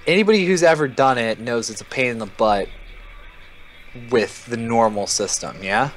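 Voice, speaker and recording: A man's voice: medium pitch, moderate pace. A man chatting informally while playing a video game, speaking emphatically as he makes his points. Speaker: co-host of a gaming chat show. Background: music.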